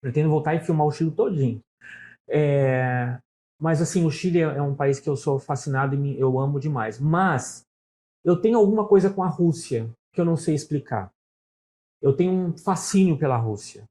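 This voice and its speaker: A man's voice: on the high side, moderate pace, dark tone. A middle-aged man from Brazil with a São Paulo Portuguese accent, talking casually as an interview guest and telling stories, often adding "né?". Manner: storytelling, talkative, frequent "né?" tags.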